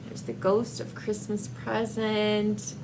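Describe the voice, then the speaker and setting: Halting woman with a creaky voice. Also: medium pitch, monotone, noisy background. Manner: hesitant, halting, rambling. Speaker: book vlogger. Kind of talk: casual book review chat to camera.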